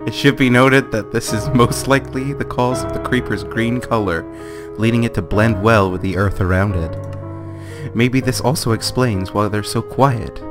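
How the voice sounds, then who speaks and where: A man's voice: medium pitch, normal speed. A man co-hosting a let's play, chatting casually and reading a book aloud dramatically in a jokey way. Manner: jokey, easily amused. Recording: music behind the voice.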